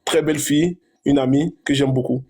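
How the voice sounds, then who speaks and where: A man's voice: mid-range voice, steady tone, a little fast, a little mellow, slight background noise. A man hosting a live talk show, theatrical and insistent, repeating his questions over and over.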